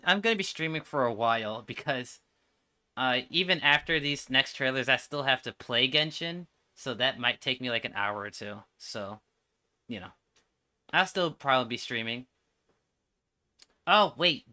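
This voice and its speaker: A man's voice: mid-range voice, bright, forceful tone. A man giving casual, slangy and enthusiastic livestream commentary as he reacts to game trailers.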